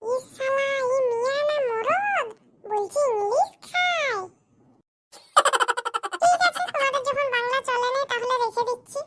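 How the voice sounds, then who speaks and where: A man voicing an excitable, cheeky cartoon villager in comedy dialogue, complaining colloquially through a pitched-up effect. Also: unhurried. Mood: indignant. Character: squeaky, excitable cartoon villager at a matchmaking visit. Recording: clearly noisy audio with clicks.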